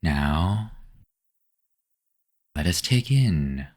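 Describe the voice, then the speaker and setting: A man guiding a meditation with gentle, unhurried, scripted instructions. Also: low voice, very animated. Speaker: meditation guide. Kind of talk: scripted guided meditation narration.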